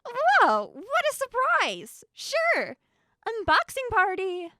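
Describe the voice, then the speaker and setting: Young woman as laid-back party god co-host, very high, slow drawl, slangy, teasing, mischievous, audio drama. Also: huge pitch swings, bright, forceful tone, full character voice. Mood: egging on. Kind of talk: amateur audio drama acting.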